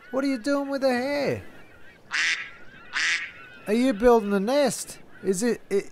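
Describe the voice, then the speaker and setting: A man's voice: extremely high pitch, a little mellow, a little hiss or hum. A man in casual comic role-play. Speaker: comedy podcast cast member.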